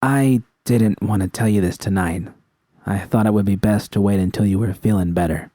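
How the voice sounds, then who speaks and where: A man's voice: lowish voice, moderate pace, mellow, dry recording, studio quality, close to an everyday voice. A man voicing a weary, guarded young woman in audiobook dialogue, subdued and hesitant. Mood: reluctant, subdued. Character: weary, guarded young woman, caring but blunt girlfriend.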